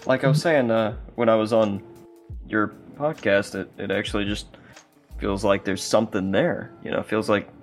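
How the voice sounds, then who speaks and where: Male guest, slightly low voice, casual anecdotal conversation, music in background. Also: steady tone, moderate pace. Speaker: guest, voice actor and co-writer.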